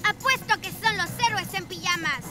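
A woman's voice: very high voice, moderate pace. A woman voicing a conceited boy genius villain in a Latin American Spanish cartoon dub, theatrical and boastful. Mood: scheming. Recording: loud music behind the voice.

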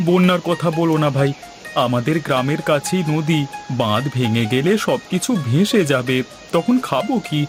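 A man's voice: a little high, animated, normal speed, natural voice. A man playing a kindly, devout village market trader in a cartoon, talking in an earnest, folksy, advisory way. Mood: concerned. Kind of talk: cartoon voice acting. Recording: music behind the voice.

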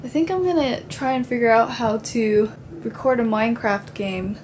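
A woman's voice: on the high side, moderate pace, a little mellow, a little hiss or hum. A young woman vlogging casually to a handheld camera in a dim bedroom, chatty and rambling. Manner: chatty, rambling with many fillers. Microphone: handheld camera at arm's length.

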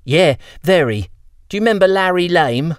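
A man's voice: high-pitched, lively intonation, moderate pace, smooth, no echo, clean studio sound, voice pushed up. A man voicing a grubby, cheeky young lamplighter boy with a chirpy, slangy way of talking.

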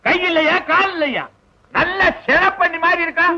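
A shrill scolding father. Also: moderate pace, vocal fry, slight background noise, heavily acted. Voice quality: sharp, mocking scolding. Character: scolding short-tempered middle-aged father. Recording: muffled old film soundtrack.

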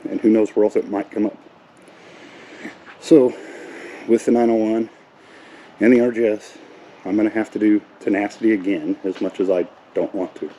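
A man's voice: on the low side, dark tone, poor audio. A middle-aged American man talking casually in a plain-spoken, matter-of-fact way, like a vlog host explaining a job. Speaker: host of a lawn care vlog. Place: outdoors in a residential yard, later an open garage. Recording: clear outdoor ambient noise.

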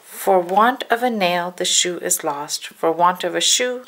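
A woman narrating a children's storybook aloud with measured, deliberate phrasing. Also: lowish voice, a bit bright.

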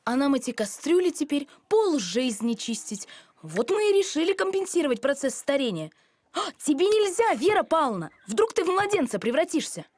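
A woman's voice: sits high, very animated, normal speed, a little mellow, dry recording. A woman voicing a cheeky, crafty young animal in a cartoon, speaking with mock politeness. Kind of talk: animated series voice acting.

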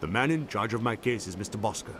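An actor playing a dignified, grieving widower in a video game, formal and courteous. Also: male voice, on the low side, lively intonation, fast talker, noisy background, close to an everyday voice. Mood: sorrowful.

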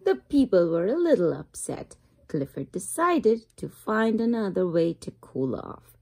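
A woman reading a children's picture book aloud as a storyteller, with expressive, drawn-out emphasis for young listeners. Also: lowish voice, creaky, breathy edge.

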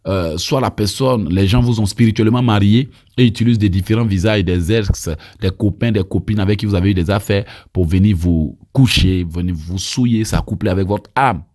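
Ivorian preacher, low husky voice. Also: male voice, fairly quick, few pauses, a little mellow. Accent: Ivorian French. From Côte d'Ivoire. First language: Abé. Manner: emphatic preaching with frequent stammered restarts. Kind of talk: deliverance prayer teaching.

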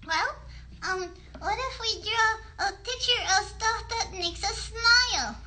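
A woman voicing an earnest, curious little girl puppet, speaking haltingly like a child in a children's livestream show. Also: very high-pitched, expressive, bright, forceful tone, pitched above the performer's own voice, full character voice. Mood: eager, thoughtful. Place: bedroom at home. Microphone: phone a short distance away.

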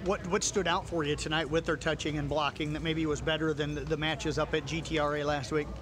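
A man working as a radio sports reporter, asking quick, friendly post-game interview questions in a school gym. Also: on the high side, level tone. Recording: crowd noise in a gym.

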